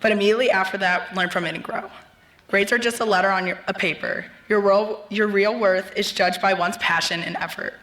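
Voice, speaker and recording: Bright-voiced young woman losing her voice. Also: medium pitch, steady tone, a little fast. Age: young adult. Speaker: student speaker. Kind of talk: graduation speech. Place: large ceremony venue. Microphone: podium mic.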